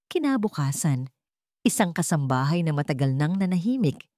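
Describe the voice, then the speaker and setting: A woman's voice: sits low, big pitch swings, moderate pace, mellow, dry recording, clean studio sound. A woman narrating a scripted dramatic story.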